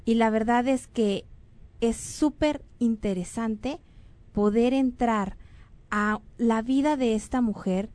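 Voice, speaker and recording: A woman's voice: a little high, takes it slowly, dark tone, no room sound. A Mexican woman hosting a show, talking earnestly and rambling with fillers and self-corrections. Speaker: radio or online show host. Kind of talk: casual spoken show announcements and guest introduction.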